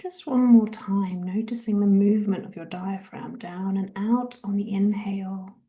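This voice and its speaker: A woman leading a guided meditation in a soft, gentle, breathy half-whisper, giving soothing body scan instructions. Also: medium pitch, fairly even intonation, normal speed. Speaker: guided relaxation and meditation host.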